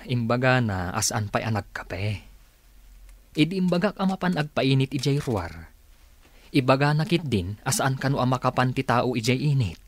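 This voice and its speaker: A male actor plays a radio-drama son who tries to be patient with his old father but sounds exasperated. His mid-pitched voice moves animatedly and sits in a very dry recording. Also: expressive, normal speed, no room sound, close to an everyday voice.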